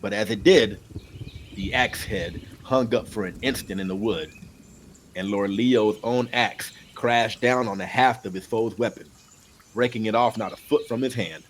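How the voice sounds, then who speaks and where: A man narrating an audiobook in an expressive, amateur storytelling style. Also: on the low side, a little slow, slight background noise.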